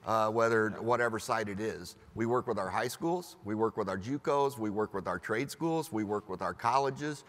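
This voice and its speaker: A man's voice: fairly low pitch, moderate pace, few pauses, in a large hall. A man talking on a business panel, chatty, laughing easily and acting out quotes. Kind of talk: panel discussion.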